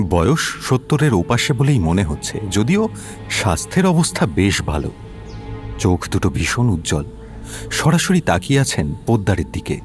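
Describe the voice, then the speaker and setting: A man narrating a scripted detective story as its narrator. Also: fairly low pitch.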